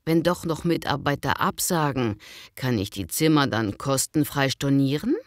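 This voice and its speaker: A woman acting a polite businesswoman on a booking call in a scripted language-lesson dialogue, speaking clearly. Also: extremely low pitch, normal speed, no room sound, clean studio sound. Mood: polite, businesslike. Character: polite businesswoman booking a hotel conference by phone.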